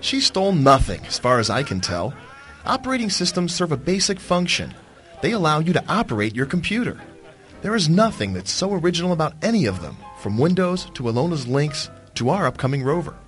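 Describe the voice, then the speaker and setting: A man's voice: a little high, very animated, a little fast, fairly bright tone, faint music behind. A man playing a flippant, teasing business partner in a radio drama, speaking in an arch, mocking, flowery manner. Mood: dismissive, wry. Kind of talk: theatrical radio drama acting.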